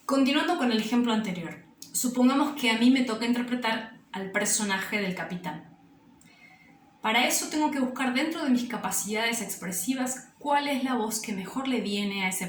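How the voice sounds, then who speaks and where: A woman giving an instructional talk as a drama teacher. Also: mid-range voice. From Argentina. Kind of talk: instructional presentation. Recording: echoey room, distant mic, faint noise.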